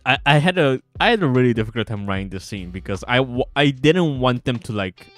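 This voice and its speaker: A man giving casual livestream commentary as a game developer, halting and repeating himself excitably. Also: medium pitch, no echo. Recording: music behind the voice.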